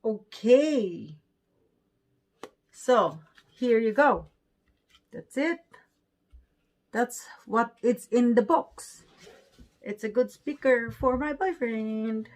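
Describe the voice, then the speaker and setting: A woman's voice: on the high side, animated, drawn-out words, pauses often, darkish tone. A woman with a Filipino accent chatting casually in non-native English as she presents an unboxing vlog. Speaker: vlogger.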